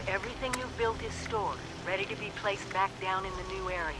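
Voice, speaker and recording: An actress playing a resolute, caring woman overseer, speaking in a measured, earnest way on a video game audio log. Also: mid-range voice, natural voice. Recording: audio log with loud background noise.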